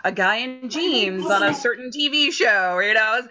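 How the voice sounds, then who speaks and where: A middle-aged woman chatting in an animated way on a video-call panel about her production work. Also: fairly high pitch, a little slow. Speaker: theater production crew member. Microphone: computer mic on a video call. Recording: dull video-call audio.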